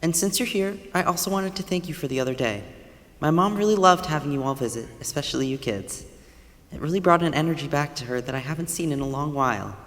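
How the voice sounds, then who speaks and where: A woman's voice: sits low, lively intonation, fast talker. A woman acting a friendly, earnest neighbor, reverberant. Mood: grateful. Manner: earnest, chatty, warm. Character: Clark, friendly, grateful neighbor with an aging mother. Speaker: actor. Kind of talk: staged reading of a musical. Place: high school theater stage. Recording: reverberant hall.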